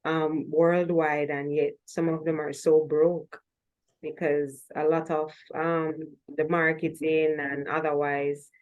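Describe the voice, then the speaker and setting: Lady interviewer chatting along, pretty low voice. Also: level tone, takes it slowly, narrow, tinny audio. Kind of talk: conversational interview talk.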